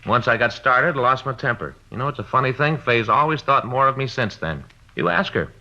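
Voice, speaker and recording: A man's voice: medium pitch, lively intonation, fairly quick, bright, forceful tone. An actor in a 1950s radio drama playing a chatty, cocksure middle-aged American detective, folksy and insistent with his advice. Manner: folksy, insistent, know-it-all. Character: cocksure, chatty married male police detective giving advice. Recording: dull, band-limited old radio recording.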